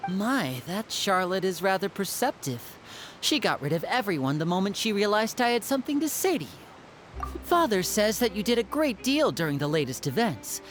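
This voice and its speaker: Young male game magician, voiced by a woman: suave, courteous, animated melody in the voice. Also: medium pitch, normal speed, close to an everyday voice. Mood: polite, informative. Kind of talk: video game voice acting. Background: music.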